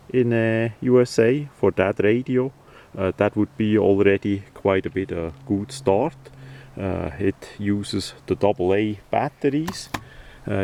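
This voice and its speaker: A man presenting an informal, unscripted how-to demonstration in a halting, hesitant way. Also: fairly low pitch, dark tone. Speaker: video presenter, radio hobbyist.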